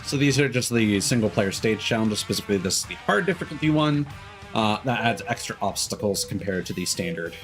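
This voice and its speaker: A man's voice: fairly low pitch, expressive, brisk. A man livestreaming a video game, chatting casually and thinking aloud in a hesitant, rambling way. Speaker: game live streamer. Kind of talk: casual livestream chat while gaming. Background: game music.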